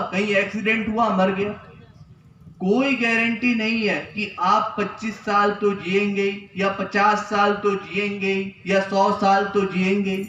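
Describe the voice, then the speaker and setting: A young man gives an earnest sermon, driving his points home with repetition and rhetorical questions. His voice sits high. The recording is distant and dull, with the echo of a temple hall around him. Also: fairly bright tone, slight background noise. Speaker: spiritual preacher. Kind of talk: Hindi religious discourse. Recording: echoey, distant, dull lo-fi sound.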